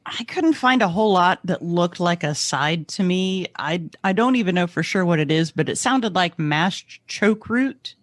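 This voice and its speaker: A podcast panelist with a medium-pitched voice chats casually with the group. The sound is dry, with no room around it. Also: lively intonation, normal speed, few pauses, dry recording.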